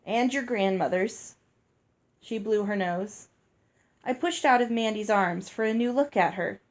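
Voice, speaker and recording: A woman's voice: medium pitch, normal speed. Woman casually reading a novel aloud. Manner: plain, unpolished reading with occasional slips. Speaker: reader.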